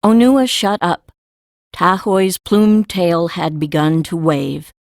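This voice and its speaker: A woman's voice: fairly low pitch, steady tone, slow pace, no room sound, studio quality. A woman reading scripted story narration for an audiobook.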